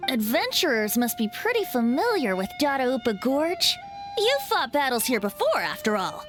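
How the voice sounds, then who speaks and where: A playful young male bard from a video game. The voice is high and very melodic, with a poetic, theatrical flourish and a sly edge. Faint music sits behind it. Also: huge pitch swings, moderate pace. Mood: light, teasing. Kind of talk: English video game voice acting.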